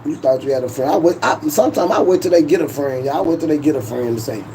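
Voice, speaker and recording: A man's voice: on the high side, fast, darkish tone, a little hiss or hum. A man, hosting a casual livestream in a boastful, profane, laughing way. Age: 40s. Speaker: YouTube livestream host.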